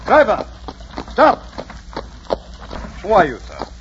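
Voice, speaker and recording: A man's voice: high voice, huge pitch swings, slow pace, full character voice. An actor playing a haughty, impatient king with a curt, commanding manner, 1940s radio style. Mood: impatient, annoyed. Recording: muffled, noisy 1940s radio recording.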